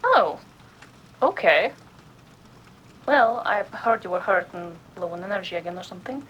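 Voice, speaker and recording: A woman's voice: mid-range voice, lively intonation, normal speed, slightly rough, highs cut off. A woman playing a friendly, concerned comrade in an audio drama, talking casually with a hesitant, caring manner. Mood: coaxing, worried.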